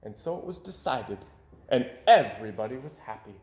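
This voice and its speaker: A man's voice: mid-range voice, big pitch swings, moderate pace, darkish tone. A man reading a children's story aloud with dramatic delivery, varying volume and tempo for suspense. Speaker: storybook reader. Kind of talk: animated children's read-aloud narration. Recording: roomy home recording.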